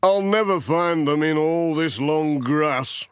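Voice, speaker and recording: A man's voice: a little high, takes it slowly, clear, resonant tone, dry recording, clean studio sound, pitched above the performer's own voice. A man voicing a plain-spoken farming countryman for a children's audiobook. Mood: hopeless. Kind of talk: children's audiobook character reading.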